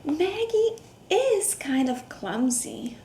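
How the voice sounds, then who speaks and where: Lady doing kids story time, high soft mellow voice, asks the little ones questions, kinda echoey room. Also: slow. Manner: expressive, with gasps, hums and hushed asides. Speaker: storyteller reading a picture book to children. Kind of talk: interactive children's read-aloud with questions to young listeners. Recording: roomy echo, faint background noise.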